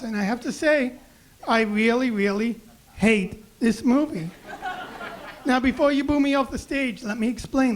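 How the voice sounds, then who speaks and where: A young man with a high, raspy voice doing a self-deprecating deadpan stand-up set on a club stage mic. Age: young adult. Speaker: stand-up comedian. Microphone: handheld stage mic.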